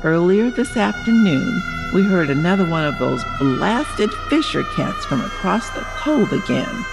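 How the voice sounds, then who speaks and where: A woman playing an elderly wife in a horror audio story, reading her worried, genteel journal in a measured, wistful manner. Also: on the low side, big pitch swings, vocal fry, over music, heavily acted, low-quality recording. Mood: worried, polite.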